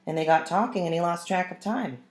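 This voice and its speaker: A woman's voice: fairly low pitch, a little fast, voice pushed up, lightly acted. Woman reading as a dry, matter-of-fact kid. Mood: dry, resigned. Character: matter-of-fact, dryly humorous older sibling, a child. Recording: roomy, echoing room sound.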